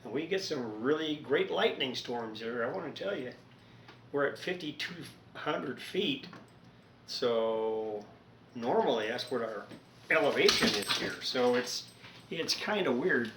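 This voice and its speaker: A man chatting casually in an unhurried, rambling, folksy way, as a hobbyist host of a home repair video recorded indoors. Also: medium pitch, animated, a bit bright, a little hiss or hum.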